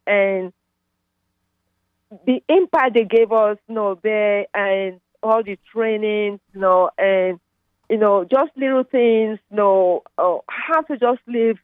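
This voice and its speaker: A woman's voice: medium pitch, no room sound. A middle-aged woman from Nigeria with a Nigerian accent, calling in to a radio show and talking in a rambling way with many fillers. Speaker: caller. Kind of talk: casual phone call. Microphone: phone line. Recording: tinny dry phone line.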